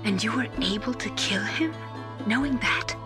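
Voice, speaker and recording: Caring female game scientist, played by a woman, higher register, soft-spoken and reserved. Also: moderate pace, music in the background, close to an everyday voice. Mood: shocked. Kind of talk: dramatic video game acting.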